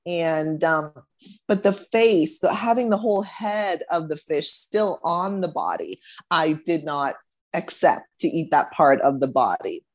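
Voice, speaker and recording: A middle-aged woman from the Bahamas, a guest talking thoughtfully in a casual interview, with many pauses and restarts. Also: low-pitched, lively intonation.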